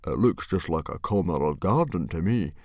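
A man voicing a big Viking chief and father in a storybook, booming, blunt and commanding. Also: animated, moderate pace, darkish tone, dry recording, studio quality. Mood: doubtful.

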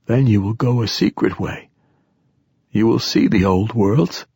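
A man voicing an ancient, bedridden old poet with a raspy, tired, declamatory voice in an audiobook story. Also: fairly low pitch, unhurried, darkish tone, no echo, clean studio sound, voice pushed up, full character voice. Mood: prophetic.

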